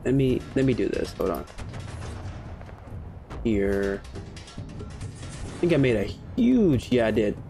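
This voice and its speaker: Male streamer, relaxed commentary, curses freely, soft game music underneath. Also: medium pitch, slow pace, pauses often. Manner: laid-back, swears freely. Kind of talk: casual gameplay commentary.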